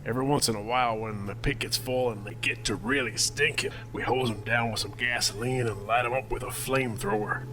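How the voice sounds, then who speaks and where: A man's voice: mid-range voice, steady tone, fairly quick, few pauses, lightly acted. The smarmy captain who pulls his truck over in a homemade apocalypse radio play, acted by a man, drawling threats through plainly audible background noise. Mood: casual, callous. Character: leering, bullying male militia captain, crude and menacing. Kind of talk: audio drama script reading. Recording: noisy home recording.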